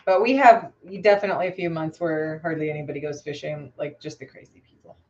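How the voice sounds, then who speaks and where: A woman chatting casually on a live stream as she paints fishing lures, rambling and hesitant with lots of fillers, her voice a bit hoarse. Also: low voice, fairly even intonation, quick pace, darkish tone, lo-fi sound. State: slightly hoarse after losing her voice. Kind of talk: casual live-stream chat while working.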